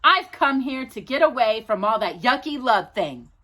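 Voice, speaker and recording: A woman's voice: fairly high pitch, big pitch swings, moderate pace, pushed and bright, full character voice. A woman voicing a grumpy young boy who scorns love, complaining emphatically in a storybook reading. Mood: indignant.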